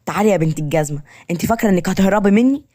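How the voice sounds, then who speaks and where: A woman voicing a sharp-tongued, exasperated mother who scolds bossily in an audio story, with an Egyptian Arabic accent. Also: mid-range voice, rapid-fire, dry recording, natural voice. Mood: threatening.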